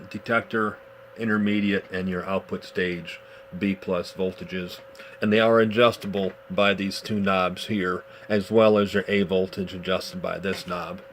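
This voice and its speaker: A man giving a casual, unscripted show-and-tell demonstration in a measured, explanatory way, pausing as he goes. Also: lowish voice, fairly bright tone. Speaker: vintage radio collector presenting a demonstration. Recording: clearly noisy amateur home video.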